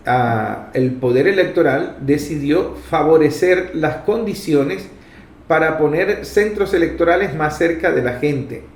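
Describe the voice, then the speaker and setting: A man with a Venezuelan accent speaks in a fairly high voice, with plenty of room echo around it. He delivers political commentary on his own, emphatic and instructive, setting up points with rhetorical questions. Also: a little mellow. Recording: echoey room.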